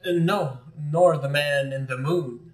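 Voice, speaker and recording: Man playing a foppish gentleman, affected, rambling, fairly high voice, echoey room. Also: unhurried, natural voice. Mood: evasive. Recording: echoey room, mic far off.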